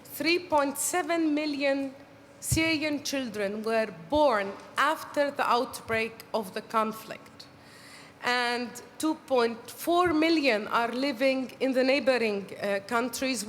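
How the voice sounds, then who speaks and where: A woman moderating a conference panel in a formal, measured way, pausing now and then with fillers. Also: fairly high pitch, a little hiss or hum. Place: conference hall. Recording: reverberant hall with faint ambience.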